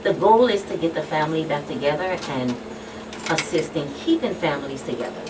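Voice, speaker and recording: A woman's voice: low-pitched, animated, brisk. A woman with a Caribbean accent speaking as a guest expert on a radio talk show, plain-spoken and emphatic. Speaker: guest expert, child protection official. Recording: distant mic, clearly noisy background.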